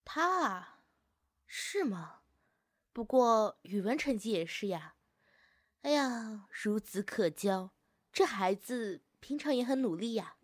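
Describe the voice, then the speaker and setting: A woman playing a proud, approving high-school teacher in an amateur campus audio drama. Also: animated, slow pace, no echo, studio quality.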